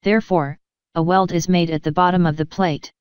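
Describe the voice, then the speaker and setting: A woman giving a measured, even, scripted narration as an instructor, explaining technical material step by step. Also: on the low side, smooth, no echo, highs cut off.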